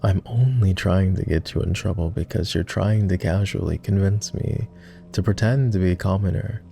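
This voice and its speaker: A man playing a flirty, courtly vampire aristocrat in an intimate roleplay ASMR, with refined, formal, teasing speech in a low, gravelly murmur. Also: normal speed, dark tone, soft background music. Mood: playful, teasing. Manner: refined, formal diction with playful teasing and sighs.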